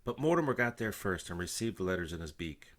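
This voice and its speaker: Older man reading with very lively pitch. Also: fast talker. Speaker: storybook reader. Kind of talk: animated read-aloud of a children's story.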